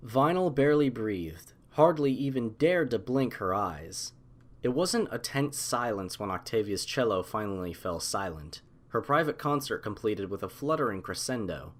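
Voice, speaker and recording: A man's voice: on the low side, animated, normal speed. Man reading a story expressively. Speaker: audiobook narrator. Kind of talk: scripted story narration.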